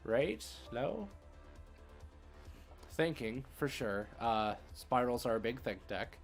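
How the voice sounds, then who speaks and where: A man's voice: medium pitch, big pitch swings, slow. Casual male game streamer over music. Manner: informal, slangy, thinking aloud. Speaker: streamer hosting a card game livestream. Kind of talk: casual live game commentary.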